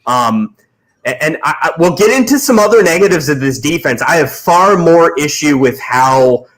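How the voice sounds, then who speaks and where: A man hosting a post-game sports show. He speaks in a slightly higher register, lays out firm opinions and often restarts his sentences mid-thought. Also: animated, normal speed, fairly bright tone, low-quality recording. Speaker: podcast host. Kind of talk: casual sports talk.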